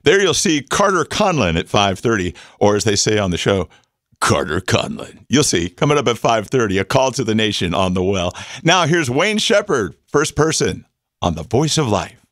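A man's voice: on the low side, sing-song, normal speed, fairly bright tone, no echo, clean studio sound. A man reading a radio station promo in a playful way. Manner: playful, puts on dramatic voice for a name.

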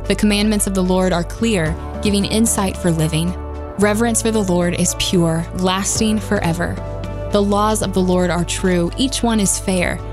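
A woman giving a measured, reverent scripture reading. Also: mid-range voice, fairly even intonation. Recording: reading over loud music.

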